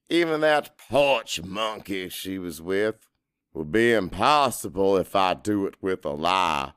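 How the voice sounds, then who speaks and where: A man's voice: fairly low pitch, fairly bright tone, clear, resonant tone, no echo, clean studio sound, pitched below the performer's own voice. A man playing a middle-aged Cajun father, anxious and guarded, narrating his own story in a brooding, conversational audiobook style. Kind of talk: first-person dramatic audiobook narration.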